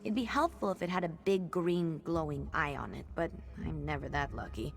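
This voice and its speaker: A woman's voice: deep voice, moderate pace, vocal fry. An actress voicing a brash, sarcastic young female mage companion in a video game, blunt and wry. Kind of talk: video game character dialogue. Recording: game ambience noise behind the voice. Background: game ambience.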